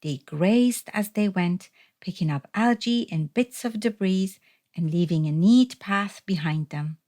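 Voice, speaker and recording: Slow-paced woman reading a storybook. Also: medium pitch, warm tone. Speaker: storybook reader. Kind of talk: scripted children's read-aloud narration.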